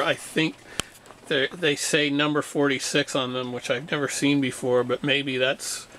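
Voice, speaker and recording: A man giving an unscripted hands-on repair demonstration, explaining things in a halting, matter-of-fact way. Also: mid-range voice, bright, forceful tone, a little hiss or hum, poor audio. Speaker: radio restorer demonstrating repair.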